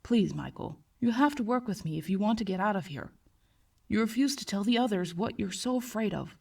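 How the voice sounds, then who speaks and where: A woman voicing a calm male psychiatrist in a horror story, speaking softly in a dry, measured professional monotone. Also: mid-range voice, vocal fry, slightly rough, recorded from a distance. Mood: calm, coaxing. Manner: soft-spoken, measured monotone, formal. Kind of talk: acted horror story dialogue.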